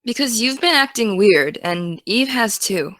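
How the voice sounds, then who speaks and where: A woman's voice: a little high, animated, a little slow, a bit bright, dry recording. Woman playing a teasing, chatty American teenage girl, dry sound. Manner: casual, teasing, earnest. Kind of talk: naturalistic audio drama dialogue.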